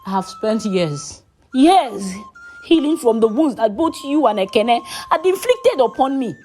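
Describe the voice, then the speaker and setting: A proud, wronged wife in a folktale, performed by a woman narrator. She is guarded and firm, with a cold steadiness in her words and strong rises and falls in pitch. Music plays softly behind her. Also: big pitch swings, unhurried.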